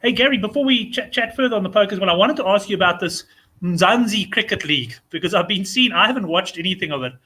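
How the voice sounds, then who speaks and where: A South African man with a raspy voice, hosting a casual, chummy chat show. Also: high voice, lively intonation, fast talker, bright, forceful tone. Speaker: show host.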